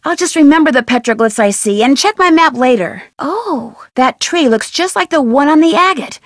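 High, perky young American girl detective. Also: animated, normal speed, no echo, clean studio sound. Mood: musing, matter-of-fact. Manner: perky, earnest, thinking aloud to herself. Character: bright, curious, plucky young female amateur detective. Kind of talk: video game character voice lines.

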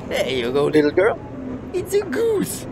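A man's voice: sits high, wildly swooping pitch, a little slow, background noise, heavily acted. A man playing a muddled, eccentric ship's doctor who dithers dreamily, in a sci-fi audio drama. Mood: loopy, coaxing.

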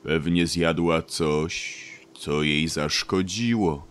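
A man playing an ancient, wise and weary grumbling beech tree in an audio drama, sighing and deliberate. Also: rumbling low, a bit bright, clear, resonant tone, heavily acted. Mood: weary, worried. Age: older. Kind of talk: audio drama character acting.

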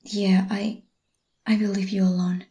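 A woman voicing a desperate, obsessive young boyfriend in a whispered ASMR roleplay, pleading and stammering in a soft, breathy whisper. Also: medium pitch, little pitch movement, unhurried, natural voice.

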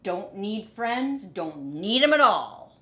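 A woman's voice: medium pitch, sing-song, takes it slowly, sharp tone, exaggerated voice. A woman voicing a grumpy, friendless male rat in a storybook, curt and huffy, in a roomy space. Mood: sullen, dismissive.

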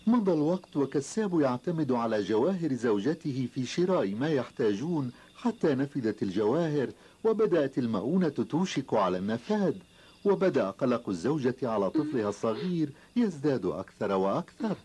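A man's voice: medium pitch, very animated, brisk, mellow, breathy edge. A man narrating a scripted cartoon tale in a formal, dramatic storytelling manner.

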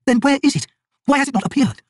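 A man's voice: very high-pitched, fast, no echo, clean studio sound, pitched above the performer's own voice. A man voicing a proud, bitter female shaman in a fantasy audiobook, with intense, emphatic delivery. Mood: anxious.

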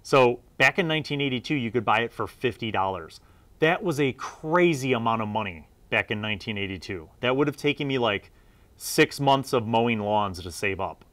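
A man's voice: mid-range voice, lively intonation, normal speed, fairly bright tone, dry recording. A middle-aged man giving a casual, rambling review as a host, often correcting himself as he goes. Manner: conversational, rambling, self-correcting. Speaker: host reviewing old gaming magazines.

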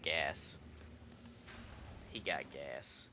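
A man's voice: rumbling low, drawn-out words, noisy background. A young man on a headset mic, casually commenting while he plays a game and jokingly mimicking its lines. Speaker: streamer. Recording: game music under voice. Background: game music.

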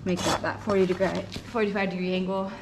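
Young woman talking over noisy rasping. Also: medium pitch, flat delivery. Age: young adult. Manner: thinking aloud in short, halting phrases while working. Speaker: horse owner giving a hoof trimming tutorial. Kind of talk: casual hands-on demonstration talk. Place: indoor barn with concrete floor. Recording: clear rasping and clattering noise. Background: filing, scraping and clatter of tools.

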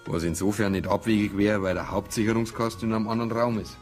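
An actor playing a methodical, probing police detective with a Bavarian accent in a radio crime drama. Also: male voice, fairly low pitch, steady tone, fast, a little mellow, soft background music, lightly acted.

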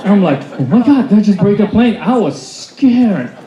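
A man in his forties, born in Poland and a native Polish speaker, telling his life story loudly and with energy to a seated audience in a conference hall, speaking into a handheld mic. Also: high-pitched, normal speed. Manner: loud, animated storytelling. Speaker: motivational speaker. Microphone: handheld mic, filmed from the back of the room. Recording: echoey hall, dull sound.

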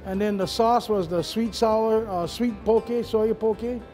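A man's voice: high-pitched, steady tone, measured pace, mellow. A middle-aged man hosting as chef, giving a casual, encouraging cooking demonstration in a kitchen. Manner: instructing, encouraging. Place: studio show kitchen. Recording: music behind, sizzling pan.

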